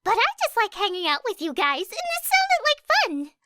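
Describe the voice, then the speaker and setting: A woman voicing an excitable, dramatic teenage schoolgirl in a story. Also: very high-pitched, moderate pace, no echo, clean studio sound, voice pushed up, exaggerated voice. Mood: cheerful. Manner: exclaiming, dramatic. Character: excitable, bubbly, whiny teenage girl student.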